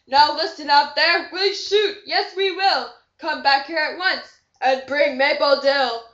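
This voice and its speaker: A woman's voice: high voice, measured pace, fairly bright tone. A woman voicing a blustering, red-faced storybook army general who roars commands and threats. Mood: angry, commanding. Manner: roaring, commanding. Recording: dull echoey amateur room recording.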